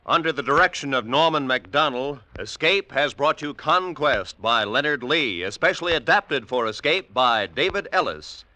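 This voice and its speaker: A man's voice: mid-range voice, lively intonation, moderate pace, hardly any pauses, bright, forceful tone. Male American network announcer, 1940s: scripted delivery, varied intonation, noisy vintage sound. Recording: noisy vintage radio recording.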